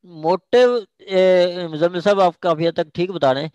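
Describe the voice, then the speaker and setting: A man's voice: sits high, normal speed, darkish tone, clean tone, no echo, lo-fi sound. A man with a Pakistani accent teaching an interactive online law class, often checking that students follow. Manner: checks understanding with repeated short questions.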